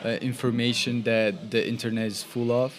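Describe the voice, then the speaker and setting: A man's voice: medium pitch, level tone, normal speed. A young man, speaking hesitantly in a podcast discussion with many fillers. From Italy.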